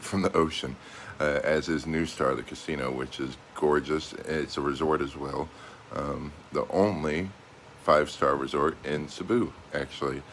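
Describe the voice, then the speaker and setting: A man's voice: extremely low pitch, fairly bright tone, a little hiss or hum. A middle-aged American man speaking casually and unscripted, as a vlogger talking to his viewers. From United States. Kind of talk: casual vlog monologue.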